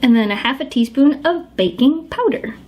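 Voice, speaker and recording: Woman, fairly high and animated, casual kitchen host who chats with her dogs mid-recipe. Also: moderate pace, breathy edge, lo-fi sound. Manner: chatty, talks to her dogs while she works. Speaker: home cook demonstrating a recipe. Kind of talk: casual how-to cooking video.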